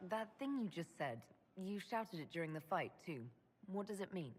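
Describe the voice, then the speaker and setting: An actress playing a calm, earnest leader in a fantasy video game, with a dry, restrained manner. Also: female voice, fairly low pitch, normal speed, slight background noise, lightly acted. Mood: curious, wry. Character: composed, earnest young female fantasy leader, dryly wry. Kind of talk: video game character acting.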